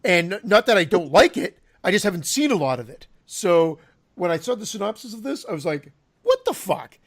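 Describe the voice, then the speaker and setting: Podcast co-host, male, high animated voice, excitable and profane. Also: brisk. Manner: excitable, swears, quotes his own reactions. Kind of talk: casual chat.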